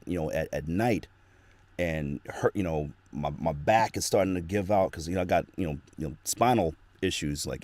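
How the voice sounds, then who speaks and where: Low-voiced man in a dry home studio. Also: darkish tone, no room sound. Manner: earnest, rambling storytelling. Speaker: guest voice actor. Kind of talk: casual interview chat. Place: small home recording studio.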